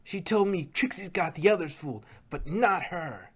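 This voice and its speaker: A man's voice: sits high, expressive, moderate pace, fairly bright tone, slightly rough, pitched above the performer's own voice, heavily acted. A man voicing a brash, scornful young female cartoon pony filly, blunt and cocky.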